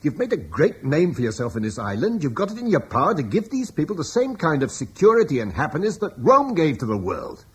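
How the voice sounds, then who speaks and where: An actor playing an earnest, eloquent Romano-British landowner who speaks persuasively in defense of Rome's legacy, in a classic radio drama. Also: male voice, fairly high pitch, wildly swooping pitch, fairly quick, few pauses, husky, exaggerated voice.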